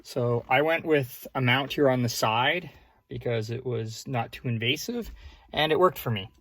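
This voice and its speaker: A man's voice: mid-range voice, a little slow, a bit bright. A man giving a casual, hands-on how-to walkthrough as a vlogger, in an informal way with conversational asides.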